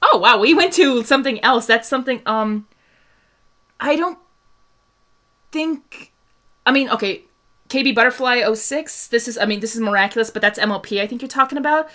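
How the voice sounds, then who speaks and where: A woman's voice: fairly high pitch, moderate pace, long pauses, sharp tone. A young woman from Hungary hosting a casual fan discussion, chatting through viewer questions with lots of laughs, fillers and restarts. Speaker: host answering viewer questions.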